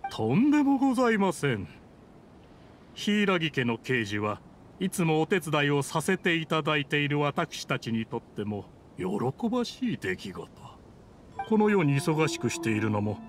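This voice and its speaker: A man's voice: fairly high pitch, very animated, moderate pace, a little hiss or hum. A man playing a deferential retainer of a noble clan in an anime game, speaking formally, humbly and politely. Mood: humbly delighted.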